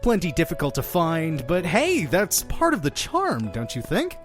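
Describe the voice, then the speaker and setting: An actor playing a chatty, pushy innkeeper of a mysterious inn in an audio drama, with an effusive, theatrical manner. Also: male voice, a little high, wildly swooping pitch, unhurried, faint music behind. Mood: cheery, welcoming. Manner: effusive, talking over his guest.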